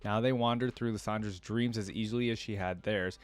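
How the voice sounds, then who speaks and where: A man's voice: on the low side, steady tone, fairly quick. A man hosting a gaming lore reaction series, switching between reading aloud and informal commentary as he thinks aloud. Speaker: host of a video game lore reaction series.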